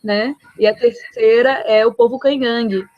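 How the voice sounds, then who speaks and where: A woman's voice: a little high, steady tone, moderate pace. A woman from Brazil hosting a live radio interview, chatty, laughing easily and tagging her sentences with questions. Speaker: radio host. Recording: dull lo-fi remote audio.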